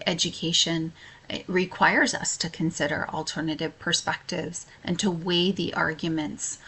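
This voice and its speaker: An American woman speaks as a college instructor giving an informal lecture. Her voice sits fairly low for a woman and has a strong vocal fry. She talks in an earnest, halting way, pausing often between phrases. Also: a bit bright.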